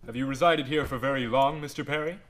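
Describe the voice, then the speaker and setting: An actor in a radio drama playing a courteous police inspector who speaks in a formal, measured way. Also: male voice, mid-range voice, fairly even intonation, a bit bright, slight background noise, close to an everyday voice. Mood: polite probing.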